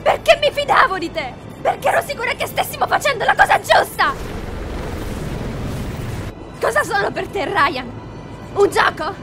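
Woman acting a high-voiced, bitter young woman agent. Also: lively intonation, moderate pace, long pauses, music in the background. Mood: furious, hurt. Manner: intense, bitter, emotional. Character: defiant, wounded young woman agent, feeling betrayed. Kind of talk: dramatic fan dub of a video game.

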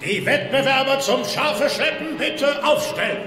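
An excitable, folksy Viking announcer in a cartoon drama. He proclaims in a very high, echoing voice over loud music. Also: measured pace. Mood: proclaiming. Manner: ringing announcer delivery. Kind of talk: animated-series radio play acting.

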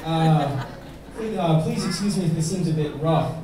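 Young man, high voice, wedding toast, echoey banquet hall, heard from far off. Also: crowd noise. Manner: joking, self-mocking, pausing for laughs. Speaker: best man. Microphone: handheld mic, filmed by a far camera.

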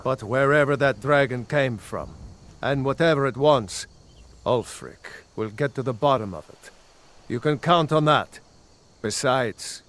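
An actor playing a loyal, earnest, plain-spoken rebel soldier in a fantasy video game. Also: male voice, medium pitch, a bit bright, lightly acted. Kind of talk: video game dialogue acting.

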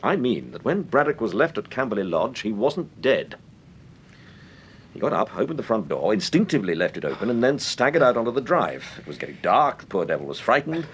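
An actor playing a suave, unflappable amateur detective in an old radio serial, urbane and drily ironic. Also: male voice, big pitch swings, fairly quick. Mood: explaining. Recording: vintage radio recording with rumbling background noise.

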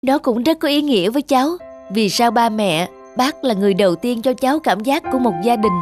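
A cheerful young lodger, upbeat and friendly, played by a woman with a higher tone. Music plays clearly behind him in this cartoon. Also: expressive, a little fast, close to an everyday voice. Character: cheerful young man lodger, warm and eager. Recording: music bed under voice.

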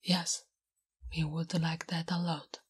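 A woman voicing a big, blunt, smitten and naive female orc in whispered, halting broken English for an ASMR roleplay. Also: deep voice, little pitch movement. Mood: curious, hesitant. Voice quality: breathy, whispery. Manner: halting broken English, speaks of herself in third person. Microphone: close-up ASMR microphone. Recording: close, intimate microphone.

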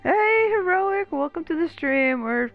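Unhurried, chatty woman streaming a game. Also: very high voice, expressive, phone-quality sound. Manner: joking, chatty. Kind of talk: casual gameplay commentary. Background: game music.

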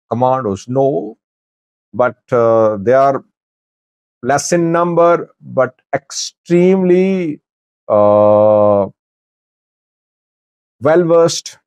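A man in his forties giving news commentary to camera in a deliberate, halting way, pausing mid-sentence. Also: medium pitch, darkish tone, no room sound. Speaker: news commentator, channel host. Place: small wood-panelled office, indoors.

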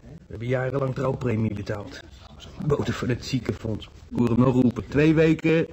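A man's voice: mid-range voice, moderate pace, background noise, natural voice. An actor in a radio play playing a chatty, jealous working-class husband who frets from his hospital bed. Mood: restless, chatty.

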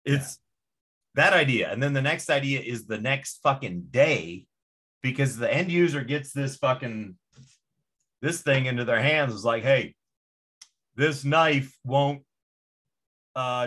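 A man with a husky, breathy edge to his voice, talking casually on a podcast. He rants with emphatic, profane energy and hammers his points home. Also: mid-range voice, takes it slowly, pauses often, bright, forceful tone. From Indonesia, to American parents. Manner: emphatic, profane, fired-up ranting.

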